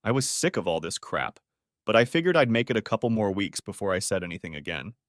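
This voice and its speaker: A male storyteller delivers a scripted first-person narrative. His pitch moves around in a lively way, and the sound is close and dry. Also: quick pace, dry recording.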